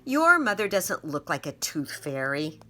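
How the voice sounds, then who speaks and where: A woman's voice: low-pitched, big pitch swings, measured pace, pushed and bright, exaggerated voice. A woman voicing a doubtful little boy in a children's storybook, with a blunt, skeptical manner.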